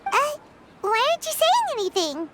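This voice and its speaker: A woman voicing a tiny, childlike floating companion in an anime game, excitable and boastful, with a squeaky, exclamatory delivery. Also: normal speed, background noise. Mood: excited, bossy. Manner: speaks of herself in third person, exclamatory. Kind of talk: anime game character acting. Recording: music behind the voice.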